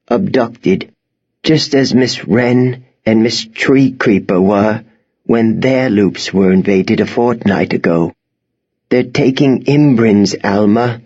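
A man voicing a frail, grief-stricken, genteel old woman in an audiobook, speaking formally and haltingly. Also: medium pitch, a little mellow, breathy edge, highs cut off. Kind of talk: audiobook character acting.

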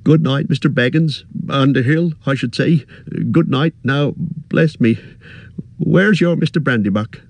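A man's voice: mid-range voice, measured pace, a little mellow, no echo, pitched above the performer's own voice. A man reading aloud, voicing a fussy, anxious middle-aged male innkeeper who chatters in a rustic way. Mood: bustling.

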